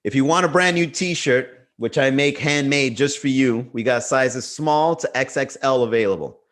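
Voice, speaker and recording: A man's voice: fairly high pitch, fairly bright tone. A Miami-accented man riffs on a casual comedy livestream from home. His talk is loose and slangy, full of bro and man, and he banters with chat over a webcam mic. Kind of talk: casual livestream stand-up riff.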